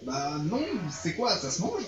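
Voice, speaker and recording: A man's voice: a little high, very animated, measured pace, music in the background, voice pushed up. A man playing a bewildered, incredulous male kidnap victim in an amateur visual novel reading. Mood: baffled, incredulous. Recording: muffled, echoey room with noise.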